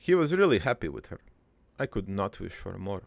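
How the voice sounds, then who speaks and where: A man playing a crude but wistful old dead father in a cartoon, rambling through his life story in a halting, gravelly, improvised monologue. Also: deep voice, big pitch swings, no echo, heavily acted. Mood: fond, sly. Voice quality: gravelly, creaky.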